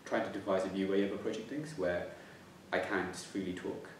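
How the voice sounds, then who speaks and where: Low, flat-voiced young man who stammers. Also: brisk, slight background noise. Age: 20s. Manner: stammers, with repeated words and restarts. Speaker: interviewee. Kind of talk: reflective interview answers. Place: plain room indoors. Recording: echoey room, slightly distant mic.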